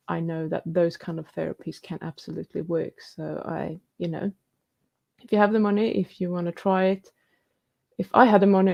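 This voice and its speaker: A woman's voice: on the low side, gravelly, dark tone, lo-fi sound. A woman hosting a live stream, reading out viewer questions and comments and answering them in a chatty, casual way. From New Zealand.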